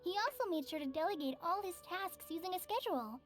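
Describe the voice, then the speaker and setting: A gentle young woman forest trainee in a game, speaking high and soft with a polite, shy manner. She sounds tired, with a sigh in her voice. Game music murmurs faintly behind her. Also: lively intonation, fast. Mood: earnest. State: tired and sighing.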